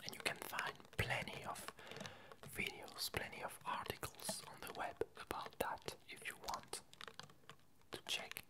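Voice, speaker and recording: A woman whispering a casual ASMR update, talking in halting, softly hesitant phrases. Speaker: ASMR video creator.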